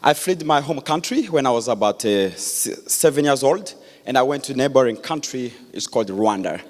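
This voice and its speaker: A man, giving an earnest public speech with many pauses and restarts. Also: medium pitch, lively intonation. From Democratic Republic of Congo. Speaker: guest speaker. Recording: echoey hall.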